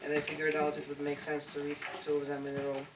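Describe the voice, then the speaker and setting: A man at a poetry workshop speaks in a soft, slightly hesitant way before reading his poems aloud. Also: fairly high pitch, completely flat delivery, fast talker. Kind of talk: casual intro then poetry reading. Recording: echoey room, distant mic, loud background noise.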